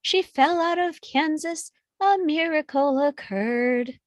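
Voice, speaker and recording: Actress as gentle, regal good witch for a storybook musical: high voice, unhurried, sweetly coaxing, dry sound. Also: dry recording. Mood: sweet, announcing. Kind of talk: musical film script read aloud.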